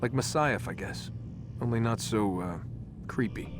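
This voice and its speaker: A man's voice: medium pitch, measured pace, over music, lightly acted. A man playing a sardonic young American runaway in a video game, with a casual, wry, slangy way of talking. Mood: guarded, bitter. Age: young adult. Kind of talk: naturalistic video game acting.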